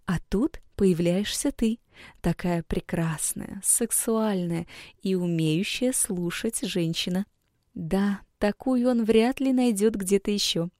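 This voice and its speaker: A woman with a mellow, warm voice gives a scripted lesson and speaks to her listener in a soft, coaxing way. Her recording is close and dry, with no room sound at all. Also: medium pitch, slow pace, dry recording. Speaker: women's school coach. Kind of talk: scripted instructional narration.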